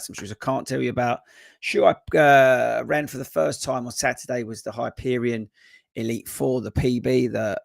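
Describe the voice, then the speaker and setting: A man, a running coach, hosts a live-stream Q&A in a hoarse voice that is only just coming back, chatting loosely with many fillers. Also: medium pitch, dry recording, studio quality. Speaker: running coach and podcast host. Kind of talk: casual live-stream chat. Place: home desk.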